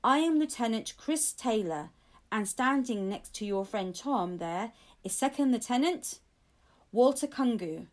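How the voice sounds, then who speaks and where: A woman voicing a courteous, reassuring male royal guard lieutenant in a storybook, formal and measured. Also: a little high, animated. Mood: proud, friendly.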